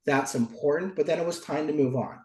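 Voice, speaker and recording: A middle-aged American man with a somewhat high voice sounds stuffed up from a cold. He explains things deliberately and articulately, like a local politician being interviewed, and the room adds clear echo. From Oakland, California, United States. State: stuffy from a cold, cough drop in mouth. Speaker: local politician, former mayor. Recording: roomy, echoing sound.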